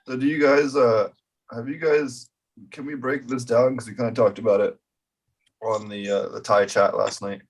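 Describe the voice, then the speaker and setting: A man's voice: medium pitch, steady tone, moderate pace, husky. A man jokes casually on a video call. He sits back from his mic, so his voice sounds distant. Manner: casual, joking.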